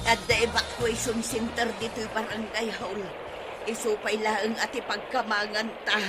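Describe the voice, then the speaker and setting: A woman with an Ilocano accent playing an excitable, lamenting village wife in a radio comedy drama. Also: on the high side, moderate pace, loud music behind the voice, natural voice. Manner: exclamatory, wailing. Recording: noisy radio drama with ambient effects.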